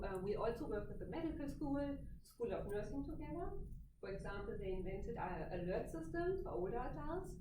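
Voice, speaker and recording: Middle-aged female academic, German accent, informal talk, recorded from afar with clear background noise. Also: medium pitch. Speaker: professor, guest speaker. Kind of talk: informal presentation. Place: small indoor meeting room. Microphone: far camera microphone. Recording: roomy, distant, noisy camera recording.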